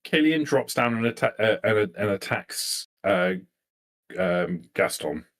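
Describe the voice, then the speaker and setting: Male game master, lower pitch, halting improvised narration, repeated phrases. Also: sing-song, a bit bright.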